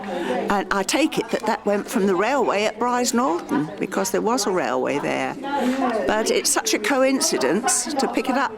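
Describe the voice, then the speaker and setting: A woman's voice: mid-range voice, big pitch swings, moderate pace, gravelly. An older woman, reminiscing casually. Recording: background chatter in a room.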